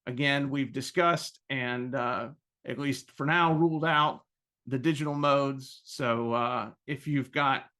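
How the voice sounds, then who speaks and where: A man giving an informal explanatory presentation as a club president, hesitating often with halting phrasing and frequent ums. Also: mid-range voice, steady tone, bright, forceful tone.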